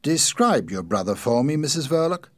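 Man voicing a firm, husky police inspector. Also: medium pitch, very animated, no echo, studio quality, pitched above the performer's own voice. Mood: probing. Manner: measured, formal, insistent. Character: firm, probing male police chief inspector. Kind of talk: audiobook character dialogue.

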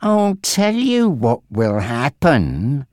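A narrator voicing a menacing, taunting male gangster boss in an audiobook story, with a threatening, drawn-out delivery. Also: mid-range voice, sing-song, no echo, clean studio sound, voice pushed up. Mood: cold threatening.

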